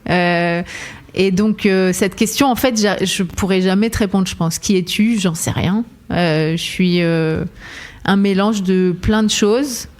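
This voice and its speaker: A woman's voice: medium pitch, measured pace, a little mellow. A woman singer who grew up between France, Lebanon and Ivory Coast, talking in a casual interview in a reflective, searching way, with many hesitations and frequent laughter. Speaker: singer, guest.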